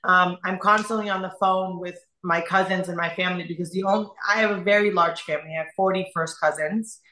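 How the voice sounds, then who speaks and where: A young adult woman from Iran speaking as a guest, earnest and halting, often repeating her phrases. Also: lowish voice, steady tone, pushed and bright, roomy sound, low-quality recording. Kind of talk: emotional personal testimony in conversation.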